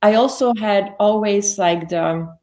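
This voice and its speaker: A woman's voice: medium pitch, slow, warm tone. A woman, telling her life story as an interview guest in a chatty way, often correcting herself. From Belgium. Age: 50s. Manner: chatty, self-correcting, many "like" fillers.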